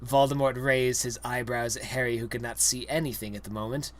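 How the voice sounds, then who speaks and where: Mid-pitched young adult reading a comic story. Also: steady tone, fairly quick, no room sound. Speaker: reader. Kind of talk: comedic dramatic reading aloud of a parody story.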